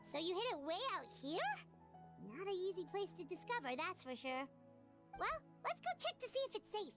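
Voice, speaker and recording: A little childlike fairy sidekick from a video game. The voice is extremely high and squeaky, bouncing wildly in pitch with perky expression. Music plays clearly behind it. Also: female voice, very animated, moderate pace. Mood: curious, chirpy. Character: small, chirpy, childlike female fairy companion. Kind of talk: English video game voice acting.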